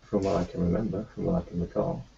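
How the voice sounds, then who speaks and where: A man roleplaying his character in a tabletop game, talking in a halting way full of fillers and restarts. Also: low voice, little pitch movement, creaky, mellow, slight background noise. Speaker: player voicing his doctor character. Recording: dull lo-fi recording.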